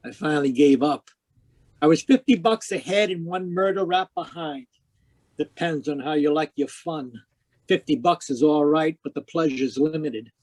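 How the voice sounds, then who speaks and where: A man playing a hard-boiled, wisecracking private investigator in a 1940s-style radio noir drama, deadpan, clipped and sardonic. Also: fairly high pitch, dry recording, lightly acted. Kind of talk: 1940s radio noir acting with first-person narration. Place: live remote video-call performance.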